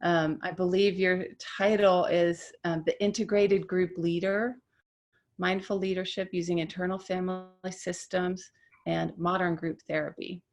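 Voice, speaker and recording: A woman's voice: lowish voice, flat delivery, unhurried, soft background music. A woman interviewing a guest over a video call, asking encouraging questions. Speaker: interviewer for a professional society.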